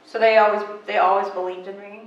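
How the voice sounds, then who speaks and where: A woman in her twenties from western Pennsylvania, telling stories about her life in a casual interview, chatty and animated with many fillers. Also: medium pitch, fast, lo-fi sound. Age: 20s. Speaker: guest. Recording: echoey room, mic at a distance.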